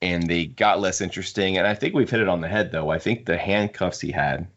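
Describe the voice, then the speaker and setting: A man hosting a casual book discussion among friends, talking haltingly and repeating words as he works through his points. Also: low-pitched, a bit bright, highs cut off. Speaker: podcast host.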